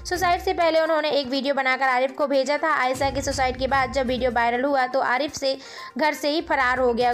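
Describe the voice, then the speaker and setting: High-voiced woman presenting informal online news. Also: fairly bright tone. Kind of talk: informal news narration addressed to viewers.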